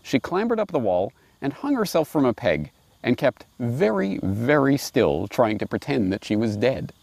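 A middle-aged man presenting and reading aloud in a musing, wry, expressive way, recorded outdoors on a lapel mic. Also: mid-range voice, moderate pace, creaky, dry recording. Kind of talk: reflective commentary and storybook reading. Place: outdoors in a grassy park.

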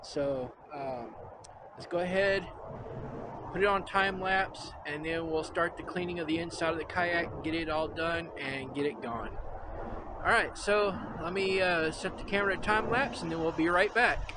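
A man's voice: fairly high pitch, normal speed. An older man hosting a casual how-to vlog in a windy backyard, easygoing, with lots of "uh" fillers and doubled "really, really". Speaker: outdoors channel host. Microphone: clip-on mic, camera on tripod and handheld. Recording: wind noise on the mic. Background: wind.

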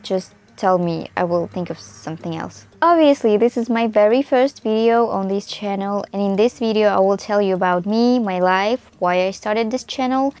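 A woman in her mid-twenties from Russia, a native Russian and Tatar speaker, talks in a chatty, expressive way with a Russian accent in a casual vlog to camera. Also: medium pitch. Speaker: vlogger. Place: small room indoors. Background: music.

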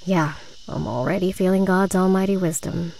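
Gravelly, sardonic tough young woman. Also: on the low side, moderate pace, darkish tone. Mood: sarcastic. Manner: dry, blunt, sardonic. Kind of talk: visual novel voice acting. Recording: noisy game stream audio.

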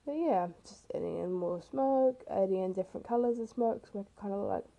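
A teenage girl with a rough, hoarse voice, casually narrating her own speed painting in a chatty, self-deprecating way. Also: mid-range voice, brisk, warm tone. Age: teens. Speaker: art vlogger. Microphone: camera mic.

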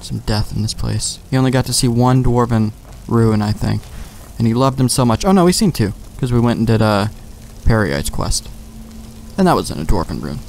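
A man's voice: mid-range voice, expressive, moderate pace, gravelly, a little mellow. A man giving casual, rambling gameplay commentary, thinking aloud with some mild swearing. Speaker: let's play host. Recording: game music faintly behind. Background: faint game music.

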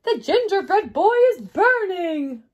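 A little old woman from a storybook, acted out by a woman reader, with a high and very lively voice. She is homely and easily flustered. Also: moderate pace, dull audio. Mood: alarmed.

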